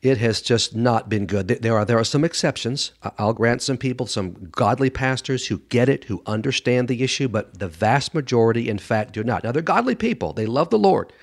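A man's voice: mid-range voice, animated, fairly quick, hardly any pauses. A man speaking as a guest expert in an interview, answering conversationally in a blunt, emphatic way and acting out what others say. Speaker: guest expert doctor and author on a radio show.

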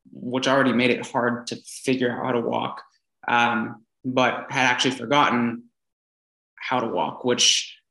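Earnest young man telling his story in an echoey room. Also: medium pitch. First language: English. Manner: relaxed, earnest, many fillers and restarts. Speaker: interview guest. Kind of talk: casual storytelling interview.

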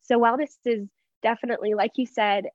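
A young American woman from Texas speaking as an interview guest, earnest and expressive as she reflects at length in personal conversation. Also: fairly high pitch, fast, dry recording, highs cut off. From Texas, United States. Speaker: interview guest, church member.

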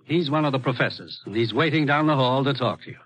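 An actor playing a brisk, authoritative spy agency commissioner in a 1950s radio drama, firm and clipped. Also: male voice, mid-range voice, fairly bright tone, natural voice, rough recording. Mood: businesslike. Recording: vintage radio recording, slight noise.

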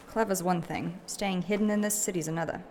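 Lowish voice, guarded practical noblewoman played by a woman, fantasy audio drama, slight noise. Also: fairly even intonation, a little fast, a little mellow, natural voice. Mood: worried. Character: worried, practical royal woman adventurer.

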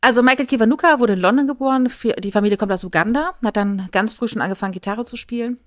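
A woman's voice: fairly high pitch, quick pace, no room sound, studio quality. A woman co-hosting a podcast, talking in a casual, conversational style. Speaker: podcast co-host.

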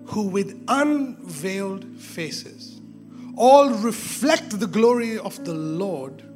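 A man preaching a sermon with emphatic repetition, rising to exclamations and dropping to hushed, intense tones. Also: high voice. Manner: emphatic, repeats phrases, drops to hushed tones.

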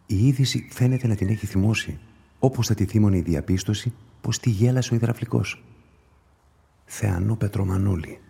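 An actor playing a sceptical police inspector in a radio play, musing and questioning in a dryly ironic way. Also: male voice, on the low side, gravelly, warm tone, breathy edge, heavily acted. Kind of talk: radio play acting and monologue.

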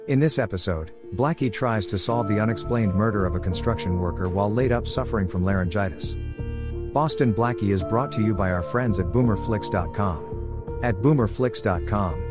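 A man hosting a podcast, reading a scripted introduction to an episode. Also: lowish voice. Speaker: podcast host. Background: music.

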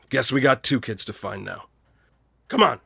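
An actor playing a gruff, guilt-ridden middle-aged small-town American man in an audio drama, husky-voiced, blunt and folksy. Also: medium pitch, pushed and bright, dry recording, clean studio sound, heavily acted. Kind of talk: naturalistic audio drama acting.

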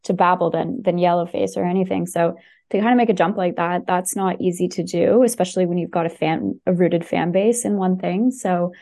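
A woman's voice: lowish voice, fairly even intonation, brisk, vocal fry, dark tone. A woman chatting casually and enthusiastically on a podcast, with many fillers and restarts. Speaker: podcast guest recommending books. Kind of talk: casual chat.

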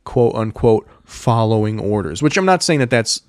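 A man's voice: fairly low pitch, lively intonation, moderate pace, no echo, studio quality. A young man co-hosting a podcast, talking casually in a rambling way with lots of fillers and laughing often. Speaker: podcast co-host.

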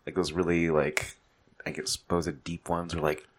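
A man's voice: sits low, level tone, moderate pace. A man co-hosting a casual chat show, rambling with lots of "like" and restarts. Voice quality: rambling with many "like" fillers. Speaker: podcast co-host. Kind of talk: casual banter.

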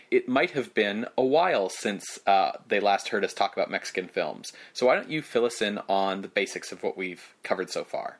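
A man co-hosting a casual podcast, talking in an easygoing way with frequent hesitant fillers. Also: on the low side. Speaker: podcast co-host.

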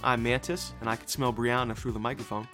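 Profane, rambling young podcast host. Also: male voice, medium pitch, little pitch movement, fast, pushed and bright, soft background music. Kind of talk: casual podcast banter.

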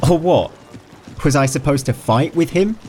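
A man's voice: a little high, expressive, moderate pace, darkish tone, soft background music, pitched above the performer's own voice, lightly acted. A man voicing a sly young prince. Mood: offhand.

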